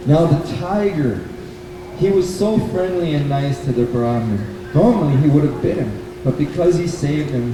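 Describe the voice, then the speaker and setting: A man narrates a puppet show for children in a high voice. He goes slowly, repeating simple phrases and asking the listeners questions. The room is hugely reverberant, and there is clear background noise. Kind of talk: storytelling to children. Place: bookstore event space. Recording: very echoey hall, distant mic, noisy.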